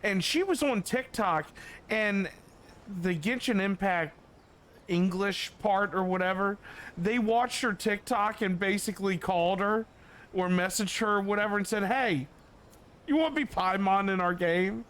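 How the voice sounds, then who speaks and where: A man's voice: high voice, a little slow, a bit bright. A man streaming a game and chatting casually in a rambling, informal way. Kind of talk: casual livestream chat. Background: faint music.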